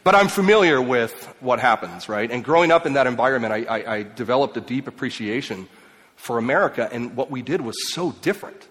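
A man raised in Mexico and Ecuador, giving an emphatic speech to an audience, swinging between loud and quiet. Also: mid-range voice, expressive, a little fast. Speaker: speaker. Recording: long hall reverb.

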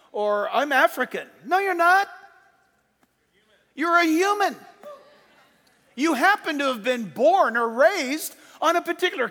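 A man preaching a sermon as pastor, emphatic, rising into loud bursts and dropping low for asides. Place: church sanctuary. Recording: long reverb of a hall.

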